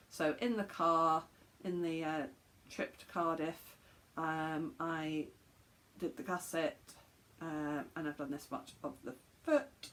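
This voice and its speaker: Slightly croaky woman, chatty. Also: fairly low pitch, a bit bright. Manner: chatty, rambling, many ums and restarts. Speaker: knitting podcast host. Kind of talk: casual chat to camera.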